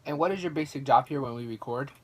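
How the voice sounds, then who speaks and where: Earnest young man of a 1940s radio station, middle pitch, stage-drama delivery acted by a man. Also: fast talker, close to an everyday voice. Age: teenager or young adult. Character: earnest heir at a struggling 1940 radio station. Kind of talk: staged radio play dialogue.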